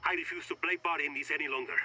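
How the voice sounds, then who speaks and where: An actor playing a tense, adamant man in a video game, hurried and forceful, heard on surveillance footage. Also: fairly high pitch, fairly even intonation, a little hiss or hum. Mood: indignant. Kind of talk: video game cutscene acting.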